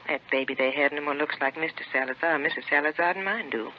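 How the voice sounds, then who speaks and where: A woman's voice: deep voice, fast talker, close to an everyday voice. An actress playing an insistent, opinionated busybody neighbor woman in a 1950s radio drama. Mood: smugly certain. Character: insistent, self-certain nosy woman neighbor phoning police. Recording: muffled old radio recording, faint noise.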